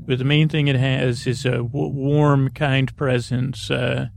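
Creaky, monotone man rambling softly to lull. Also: medium pitch. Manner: meandering, halting, hesitant, self-deprecating; lulling, soothing. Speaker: podcast host. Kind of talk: rambling bedtime podcast monologue.

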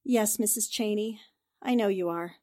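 A woman playing a shrewd, composed newspaper reporter, measured and accusatory, in 1940s-style radio drama. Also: medium pitch, dark tone, close to an everyday voice. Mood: firm, knowing.

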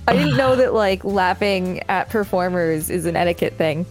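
A woman's voice: fairly low pitch, animated, moderate pace, faint music behind. A woman co-hosting a podcast, reading articles aloud with casual remarks. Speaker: podcast co-host.

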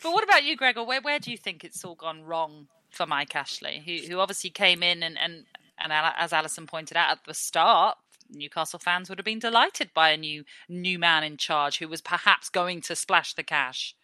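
Female podcast host, lowish and bright, easy conversational questioning with some doubled-back phrasing. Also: animated, normal speed, no echo. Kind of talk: conversational hosting.

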